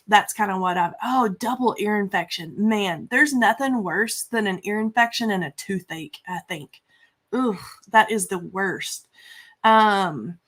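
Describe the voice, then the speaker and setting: A woman's voice: medium pitch, a bit bright. Congested woman chatting over crafts. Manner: chatty and rambling, with many fillers and restarts. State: congested from a cold. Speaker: craft livestream host. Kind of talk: casual craft chat.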